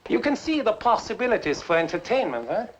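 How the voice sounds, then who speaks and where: A bitter, gloating fugitive inventor in a 1950s film. He taunts with sardonic, drawn-out theatrical delivery. The soundtrack is dull and slightly noisy. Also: high voice, big pitch swings. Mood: gloating, mocking.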